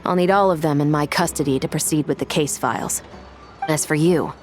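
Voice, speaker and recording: Low-voiced, authoritative female officer, played by an actress. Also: faint music behind, lightly acted. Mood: businesslike. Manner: measured, formal, matter-of-fact. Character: firm, fair-minded female law officer, composed and authoritative. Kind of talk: video game character acting.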